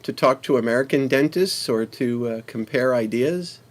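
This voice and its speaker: A man's voice: mid-range voice, normal speed. Man hosting a learner chat show, friendly, explains things. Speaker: host. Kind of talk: casual chat.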